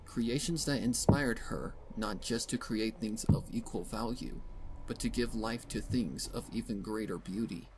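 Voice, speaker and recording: Low-voiced male dramatic story narrator. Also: unhurried, slight background noise, low-quality recording. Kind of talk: dramatic scripted fan fiction narration.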